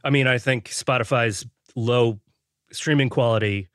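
A man's voice: mid-range voice, measured pace, a bit bright, no echo, clean studio sound. A man on a podcast panel, arguing a point thoughtfully and often repeating words as he builds his sentences. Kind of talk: casual panel discussion.

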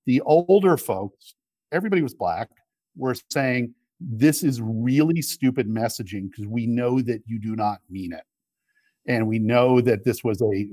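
Casting: middle-aged male interview guest, thoughtful and halting debater, medium pitch, dry recording. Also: a little mellow, dry recording. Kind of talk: conversational political discussion.

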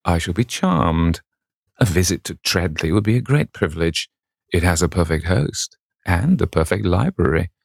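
A man narrating plays an urbane aristocrat from a classic novel. He is suave, languid and witty in a low voice. The recording is intimate and dry. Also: expressive, moderate pace, gravelly, dry recording, full character voice. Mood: suave, amused.

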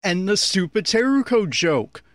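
A man's voice: high voice, sing-song, unhurried, dry recording, clean studio sound. A man giving an opinionated, critical audio commentary on an anime.

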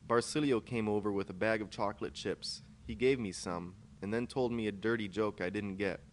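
Low-pitched male author, short story narration, audible background noise. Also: a little fast. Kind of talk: scripted narration of a first-person short story. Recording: clear background noise, amateur podcast recording.

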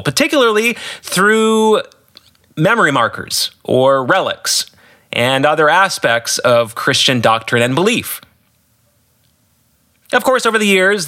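An American man hosting a solo podcast as an author, explaining his work with emphatic, deliberate phrasing. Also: on the high side, bright, forceful tone.

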